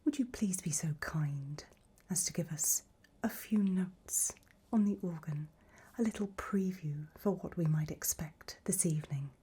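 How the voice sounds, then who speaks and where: A woman's voice: fairly low pitch, measured pace, breathy edge. A woman playing an elegant, macabre gothic matriarch in an ASMR roleplay, whispering breathily in a languid, gracious manner. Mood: gracious, fond. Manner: languid, gracious, soft-spoken.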